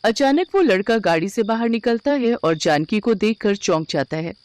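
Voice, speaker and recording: A woman's voice: mid-range voice, lively intonation, normal speed, darkish tone, dry recording. A woman storyteller reading scripted narration for a children's moral tale.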